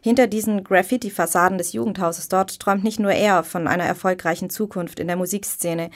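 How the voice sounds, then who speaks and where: A woman's voice: medium pitch, fairly even intonation, fairly quick. A woman hosting a radio magazine in a chatty, conversational moderating style. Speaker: radio host.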